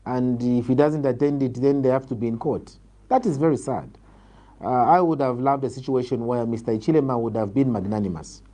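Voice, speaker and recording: A man with a Zambian accent answering political interview questions in a measured, formal, emphatic way. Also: medium pitch, mellow. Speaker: political interviewee, party leader.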